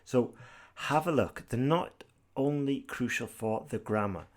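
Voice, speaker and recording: A British man from Britain teaching an exam-prep podcast tutorial in a brisk, direct way, with some fillers and restarts. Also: medium pitch. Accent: British English.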